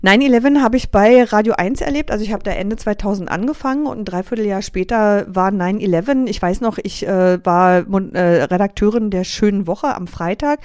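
A middle-aged woman from East Berlin speaks with a Berlin accent at an ordinary pitch. She talks in a direct, hesitant way, full of fillers and restarts. The recording is dry and close. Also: fairly quick, few pauses, darkish tone, no room sound. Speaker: journalist guest. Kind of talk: radio interview, personal recollection.

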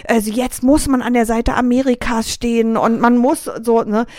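A middle-aged woman from East Berlin with an East Berlin accent, telling stories as a radio guest, lively, with many fillers and restarts. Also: on the high side, moderate pace, no echo, clean studio sound. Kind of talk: casual radio interview.

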